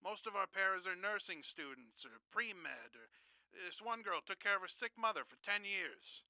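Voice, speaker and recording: Exasperated male manager, high forceful voice, insistent, matter-of-fact, dry radio play. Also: expressive, moderate pace, no room sound, dull audio, heavily acted. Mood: blunt, dismissive. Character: blunt, exasperated boss of a home-care agency. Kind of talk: radio drama dialogue.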